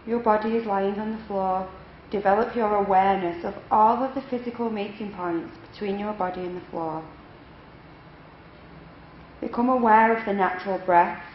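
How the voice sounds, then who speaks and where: Female yoga nidra guide, medium pitch, measured and instructional delivery, recorded in a roomy, echoing space. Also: fairly even intonation. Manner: measured, instructional, unhurried phrasing with pauses. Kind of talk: guided relaxation meditation. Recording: echoey room, dull lo-fi, faint noise.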